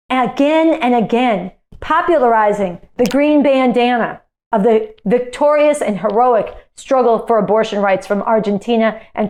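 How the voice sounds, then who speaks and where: High-voiced, impassioned woman activist. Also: darkish tone. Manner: emphatic, repetitive, indignant. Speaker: activist speaker. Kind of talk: impassioned political speech.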